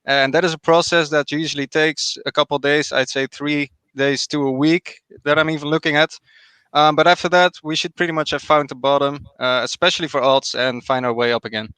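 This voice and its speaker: A man with a Dutch accent, a guest trader calmly explaining market charts on a live stream. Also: fairly high pitch, clear, resonant tone, dry recording. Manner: measured, explanatory, confident.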